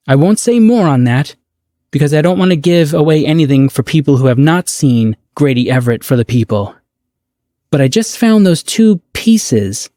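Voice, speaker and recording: A man from the United States giving prepared, thoughtful podcast commentary in a measured, articulate, earnest way. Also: fairly high pitch, mellow, dry recording, clean studio sound.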